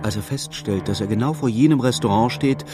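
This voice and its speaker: A man narrating a literary radio play in a measured, precise, wry storytelling manner. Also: medium pitch, music in the background, lightly acted.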